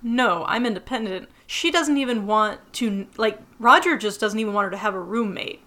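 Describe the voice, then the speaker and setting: Chatty American woman, bright voice. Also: mid-range voice, normal speed. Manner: chatty, laughs often, many fillers. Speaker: podcast co-host. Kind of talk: casual chat recapping a TV episode.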